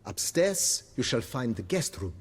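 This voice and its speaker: An actor playing a formal, eager, courteous majordomo of a country estate, with a pompous, deferential manner, in a theatrical video game style. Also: male voice, medium pitch, sing-song, measured pace, breathy edge.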